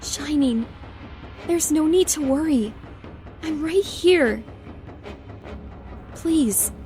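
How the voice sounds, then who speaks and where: A woman playing a gentle, anxious, loving pony princess in a cartoon fan drama, regal but pleading. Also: sits high, expressive, drawn-out words, heavily acted. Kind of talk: animated fan drama acting. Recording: music under the voice.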